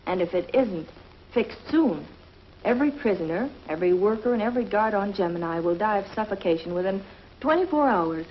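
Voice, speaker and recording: A woman's voice: mid-range voice, lively intonation, a little fast, close to an everyday voice. Actress doing a breezy self-assured lady scientist, dull old tv audio, bit of hiss. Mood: reassuring. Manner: reassuring, breezy, confident. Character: confident young female scientist companion. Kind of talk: 1950s TV drama acting.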